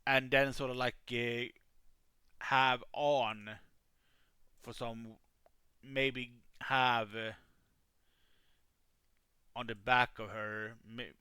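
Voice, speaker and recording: A man hosting a live stream, helping players make characters and talking in a halting way with many fillers. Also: medium pitch, smooth, no echo, studio quality. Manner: says he has a calming voice. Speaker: streamer running a game. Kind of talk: casual live-stream chat.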